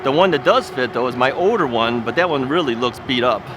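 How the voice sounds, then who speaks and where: A man talks casually into a bike-mounted camera as he rides along a city street. His delivery is rambling and folksy, full of filler words and little chuckles. Cars and street noise come through clearly behind him. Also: mid-range voice, expressive, fairly quick. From United States. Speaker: ebike video host. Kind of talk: casual chatty vlog while riding. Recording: outdoor street recording with traffic noise. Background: traffic and street noise.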